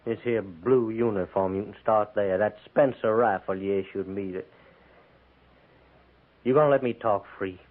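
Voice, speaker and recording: A man's voice: on the low side, normal speed, natural voice. An American man playing a bitter, defiant Confederate prisoner turned Union soldier in a 1950s radio drama, speaking sullenly in blunt, folksy frontier grammar. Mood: hateful, defiant. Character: bitter, defiant captured Confederate cavalryman pressed into Union service. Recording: tinny, band-limited 1950s radio recording.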